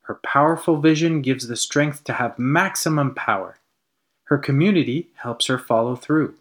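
A man's voice: mid-range voice, normal speed. A man co-hosting a business podcast, reading its scripted introduction in an announcer-like way. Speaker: podcast co-host, COO.

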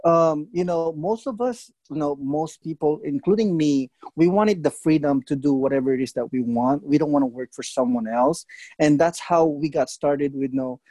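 A man of Filipino origin hosting a podcast, friendly and halting, with frequent fillers. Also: a little high, warm tone, no room sound. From Philippines. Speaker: podcast host. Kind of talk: casual interview hosting.

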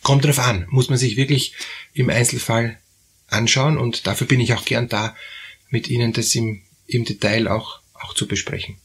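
A man hosting an advice podcast, talking in a halting way with many fillers and repeated words. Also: mid-range voice, steady tone, a bit bright, breathy edge. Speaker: therapist host. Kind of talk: advisory podcast talk.

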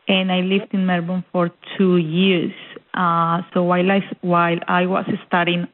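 A young woman from Colombia with a Colombian accent, a guest in an interview who talks eagerly and repeats words as she searches for them. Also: on the low side. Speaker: guest, cultural centre officer. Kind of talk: casual interview chat. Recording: tinny phone line.

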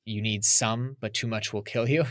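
A man's voice: on the low side, fairly even intonation, moderate pace, fairly bright tone, no room sound. A man from the United States, a podcast guest, talking casually and reflectively in an interview.

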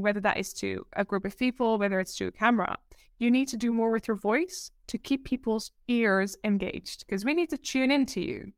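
Giggly Dutch woman, higher voice. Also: dry recording, clean studio sound. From Netherlands. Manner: giggly, animated, expressive. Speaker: presentation coach, guest. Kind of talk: casual podcast interview.

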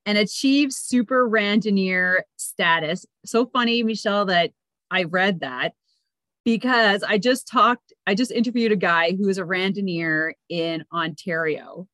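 A Canadian woman hosting a podcast, chatting casually and excitably as she interviews a guest. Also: medium pitch, measured pace, no room sound. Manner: excitable, enthusiastic.

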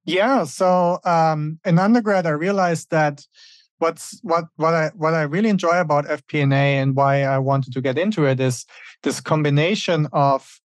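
A man's voice: fairly high pitch, moderate pace, no echo. German-raised man, dry close sound. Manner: thoughtful, many fillers and restarts. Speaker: podcast guest. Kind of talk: casual interview answers.

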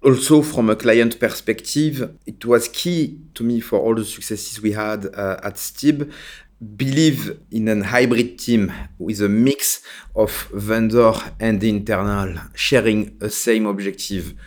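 A man's voice: fairly low pitch, a little slow, a little mellow. A man giving explanatory interview answers as a consultant, in short emphatic phrases. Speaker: technology consultant, interview guest.

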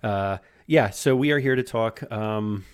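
A man in his thirties co-hosts a relaxed podcast chat, low-voiced and rambling. He trips over his own sentences and chuckles between thoughts. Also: a little slow, clean tone. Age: 30s. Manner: rambling, self-interrupting, chuckling. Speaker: podcast co-host. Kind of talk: casual chat.

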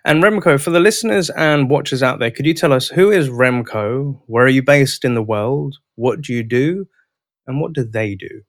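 A man's voice: medium pitch, lively intonation, moderate pace, no echo, studio quality. A man hosting a sales podcast, enthusiastic and polite, welcoming his guest and calling him sir. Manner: enthusiastic, sing-song intro, polite "sir". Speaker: podcast host.

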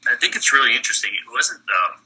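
A man being interviewed as a guest entrepreneur, answering in a relaxed, brief way. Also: medium pitch, fast talker, husky, low-quality recording. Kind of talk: casual interview.

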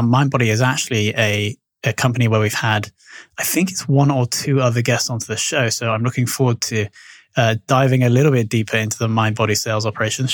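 A man's voice: medium pitch, dry recording, clean studio sound. A man hosting a business podcast, introducing his guest and asking questions in a polished interviewer manner. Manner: polished, friendly interviewer with small stumbles. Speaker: podcast host.

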